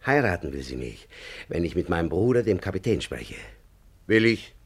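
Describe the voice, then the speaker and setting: An actor playing a boastful, jovial helmet maker in an old radio play. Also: male voice, low voice, sing-song, normal speed, slightly rough, heavily acted. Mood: scheming. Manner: bragging and jovial, talks to himself aloud. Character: boastful male helmet maker, eager suitor. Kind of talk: 1950s radio play acting.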